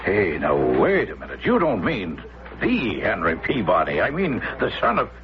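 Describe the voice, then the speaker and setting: Brusque police lieutenant, lively pitch swings. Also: male voice, normal speed. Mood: gruff, incredulous. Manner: blunt, gruff delivery. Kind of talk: old-time radio drama acting. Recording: dull old radio broadcast.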